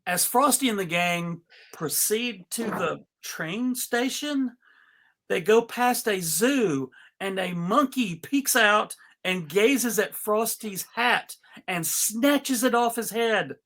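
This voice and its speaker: A man presenting an informal live script reading, halting and amused, with frequent asides. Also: sits high, animated, fairly bright tone. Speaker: panel reader and presenter.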